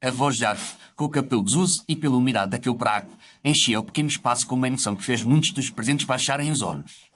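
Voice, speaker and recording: A man's voice: medium pitch, fast talker, a bit bright, slight background noise. A man narrates a scripted audio story. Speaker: narrator telling the story as a doctor character.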